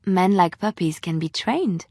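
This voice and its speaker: A woman voicing a romantic, teasing young adventuress in a video game, with soft, expressive, earnest phrasing. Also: on the low side, unhurried, no room sound, studio quality. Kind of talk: video game character dialogue.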